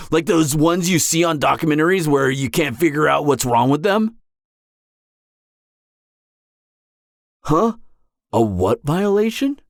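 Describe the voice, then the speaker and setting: A man's voice: mid-range voice, dry recording, studio quality. A young man playing a charming, vain businessman supervillain on a blind date in a romantic comedy audio roleplay, talking conversationally and sarcastically to the listener. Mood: curious, friendly. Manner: conversational, animated, exasperated venting with sarcastic edge.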